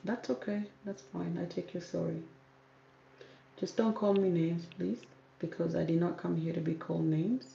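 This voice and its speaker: A young woman hosting a livestream reads out viewer comments and answers them, firm and repeating her points for emphasis. Her voice is dark and gravelly. The recording sounds roomy and dull, with faint noise behind her. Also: on the low side, steady tone, normal speed. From South Sudan, raised in Kenya. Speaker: YouTube hair channel host.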